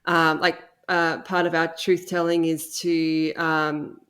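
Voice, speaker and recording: A woman's voice: low voice, little pitch movement, takes it slowly, a bit bright. A woman, speaking thoughtfully and a little hesitantly as a guest in a casual chat. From Australia. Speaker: podcast guest, audio drama co-creator.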